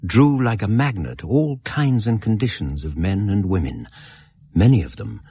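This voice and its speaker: Low husky English gentleman narrator. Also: sing-song, dry recording, full character voice. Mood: reflective. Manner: measured, formal, literary. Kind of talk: dramatised first-person narration.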